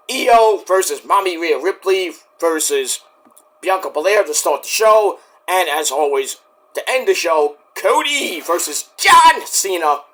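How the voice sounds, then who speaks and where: A man with a high, bright voice that is hoarse and worn. He rambles through a casual solo wrestling review, joking as he goes. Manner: rambling, jokey, with bursts of shouting.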